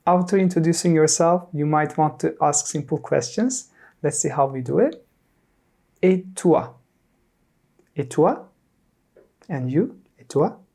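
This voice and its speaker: A teacher in their thirties from Turkey, leading a repeat-after-me lesson and saying each word clearly, then again. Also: medium pitch, slow pace, pauses often, warm tone. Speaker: French teacher.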